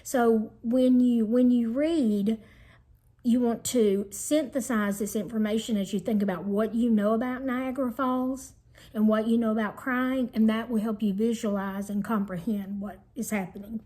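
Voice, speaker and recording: Lady teacher doing a kids book read-aloud, mellow, slightly high voice, explains like to a class. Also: fairly even intonation, moderate pace.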